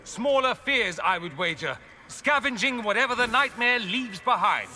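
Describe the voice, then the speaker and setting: An actor playing an earnest, wry warrior in a fantasy video game, with an argumentative edge. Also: male voice, very high-pitched, lively intonation, moderate pace, sharp tone, a little hiss or hum, heavily acted. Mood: grim resolve. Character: earnest, wry male warrior of a sworn order.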